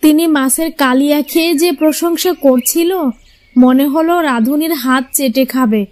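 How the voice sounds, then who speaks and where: Woman acting a high-voiced, sharp-tongued cartoon housewife. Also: moderate pace, no room sound, natural voice. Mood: disapproving. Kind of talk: Bengali cartoon fairy-tale voice acting.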